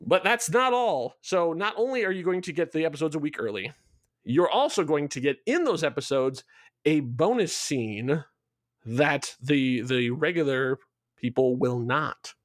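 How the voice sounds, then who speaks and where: A man's voice: on the high side, lively intonation, measured pace, no echo, clean studio sound. A man talking enthusiastically in a casual promotional podcast chat, explaining things in a rambling way as a show's creator. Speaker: show creator being interviewed.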